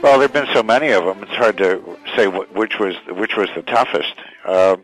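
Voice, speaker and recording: A man in his sixties with a very low-pitched voice talks in a reflective, conversational interview style. He pauses and restarts as he thinks through his answers. The recording is dry and close, with almost no room sound. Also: fairly bright tone, no echo, soft background music, low-quality recording. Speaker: radio station general manager, interview guest.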